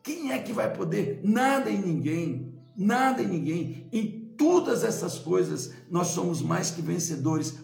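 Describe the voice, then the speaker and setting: A man's voice: high-pitched, lively intonation, measured pace, vocal fry, a little mellow, reverberant, faint music behind. A male pastor preaching a sermon with emphatic, repeated exhortations.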